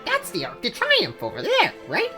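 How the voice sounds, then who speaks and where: A man's voice: very high voice, wildly swooping pitch, normal speed, music in the background, voice pushed up, heavily acted. A man voicing a cheeky little male sparrow, a flippant assistant with a brash, wisecracking way of talking. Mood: eager, curious.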